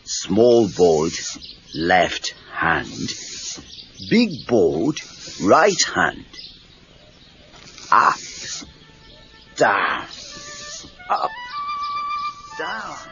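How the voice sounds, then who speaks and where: On a dull cassette recording, an elderly Japanese karate master. He speaks very slowly in clipped, broken English with a Japanese accent. His stern commands are sharp and swing widely in pitch. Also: wildly swooping pitch, soft background music, exaggerated voice. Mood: instructing. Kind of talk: children's read-along audio drama acting.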